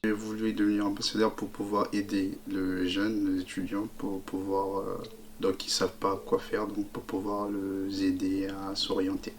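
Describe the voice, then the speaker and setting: A man, a student apprentice, speaking haltingly while searching for words. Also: deep voice, completely flat delivery, slight background noise. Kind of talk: casual testimonial.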